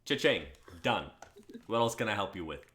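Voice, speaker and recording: Guy doing a smooth sleazy salesman spirit, flirty, really low voice. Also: lively intonation, moderate pace, noisy background, lightly acted. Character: smooth-talking, sleazy rival wish-granting spirit.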